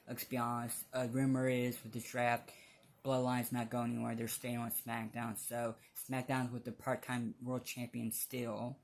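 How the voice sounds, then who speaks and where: A man giving casual opinion commentary as a fan vlogger, speaking bluntly in a halting, rambling way. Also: mid-range voice.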